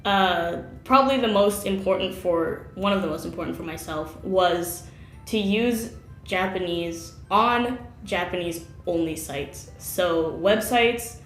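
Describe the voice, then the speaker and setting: A woman's voice: lowish voice, a little slow, roomy sound, soft background music. A woman chatting casually in an interview about how she learned a language, laughing often and using lots of fillers.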